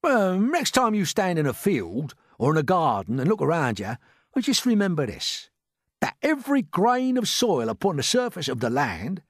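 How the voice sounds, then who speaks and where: Earnest, boastful storybook earthworm, played by a man: touchy, raised pitch, lively emphasis. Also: dry recording, clean studio sound. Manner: indignant, earnest. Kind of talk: storybook character voice.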